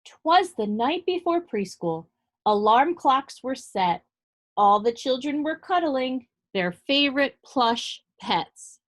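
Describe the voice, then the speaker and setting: Female, slightly high voice, preschool teacher. Animated read-aloud for little kids, simple direct phrasing. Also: slow. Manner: animated, addresses small children directly, simple explanatory phrasing. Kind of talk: read-aloud to young children with asides and questions.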